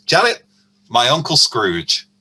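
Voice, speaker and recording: A man plays an excitable, devoted young nephew in a radio play. His voice is bright and rough-edged, swinging widely in pitch with eager, effusive warmth. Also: slow pace, heavily acted. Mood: delighted, flustered. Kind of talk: amateur radio play acting.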